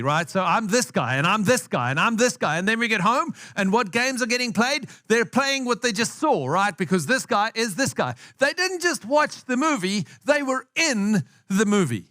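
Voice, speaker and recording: A man's voice: sits high, a bit bright. A South African man with an accent that has picked up some American, preaching a conversational sermon to a congregation, asking them questions and repeating phrases for emphasis. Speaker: pastor. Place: church hall with a live congregation.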